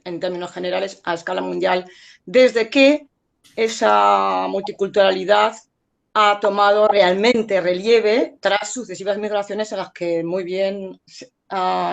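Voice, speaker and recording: Woman lecturer, native French, slightly gravelly, reflective conference speech. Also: mid-range voice. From Spain and France. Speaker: conference speaker, artist and art lecturer. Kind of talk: conference talk.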